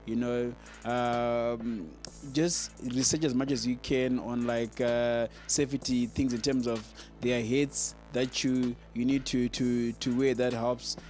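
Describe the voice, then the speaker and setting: Casting: male presenter, mid-pitched voice over music, earnest informal tutorial delivery with halting repetitions. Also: level tone, a little mellow. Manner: halting, repeats words as he explains. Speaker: sound engineer and video host giving advice. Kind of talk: informal explainer to camera.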